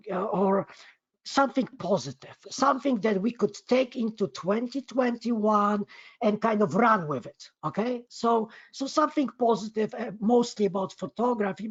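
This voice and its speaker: A man with a Polish accent speaks conversationally and effusively to an online audience, haltingly and often repeating words. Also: high voice, breathy edge, no room sound. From Poland. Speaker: guest speaker, magazine editor. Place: online video meeting. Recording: muffled lo-fi online call.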